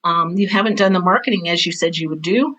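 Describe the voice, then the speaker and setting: Bright-voiced mature woman, candid and emphatic. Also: lowish voice, quick pace, poor audio. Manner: candid, emphatic, repeats phrases for stress. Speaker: interview guest, theater teacher and author. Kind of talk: casual personal storytelling in an interview.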